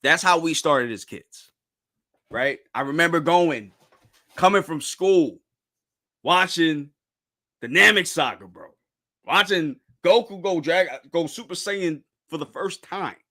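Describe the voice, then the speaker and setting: A man's voice: fairly high pitch, takes it slowly, bright, forceful tone. A man in his thirties hosting a casual podcast talk about anime, rambling with restarts and tossing in "bro". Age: 30s. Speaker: podcast host.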